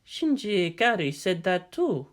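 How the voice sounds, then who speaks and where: A man's voice: high voice, sing-song, a little slow. A man as a shaken, mumbling Soviet ambassador. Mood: numb. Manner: hesitant, numb, mumbling.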